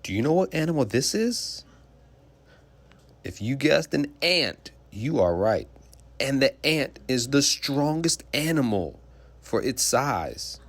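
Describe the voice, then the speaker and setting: A man's voice: medium pitch, big pitch swings, slow, no room sound. A man reading a children's picture book aloud like a teacher, explaining simply and putting questions to young listeners.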